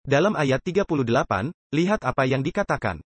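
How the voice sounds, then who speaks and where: A man's voice: medium pitch, sing-song, a little fast, husky, no room sound. Earnest male preacher, dry close sound. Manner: earnest, emphatic, with rhetorical questions to listeners. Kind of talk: dubbed sermon.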